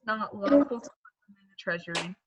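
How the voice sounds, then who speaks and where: A woman reading the part of a stern male high priest, in measured, declaiming tones, in a church. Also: lively intonation, slight background noise, close to an everyday voice. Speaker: reader of a part. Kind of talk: liturgical dramatic reading.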